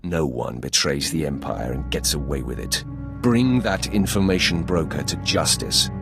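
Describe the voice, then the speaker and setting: An actor playing a stern, commanding, ruthless imperial warrior in a video game, speaking with measured authority. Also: male voice, a bass voice, expressive, vocal fry, exaggerated voice. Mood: stern resolve. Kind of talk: scripted video game acting. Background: background music.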